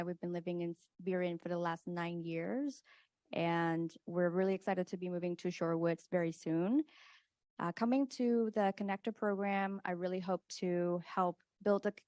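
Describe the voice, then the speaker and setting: A woman's voice: fairly low pitch, darkish tone, no room sound. A woman introducing herself earnestly as a community connector at a council meeting.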